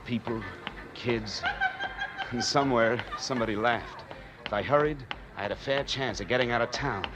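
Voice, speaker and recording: A man acting a wary, hardboiled man on the run in an old radio noir drama, narrating and talking in terse, deadpan slang. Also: mid-range voice, normal speed, very noisy, natural voice. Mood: uneasy narration. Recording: old time radio recording with music behind.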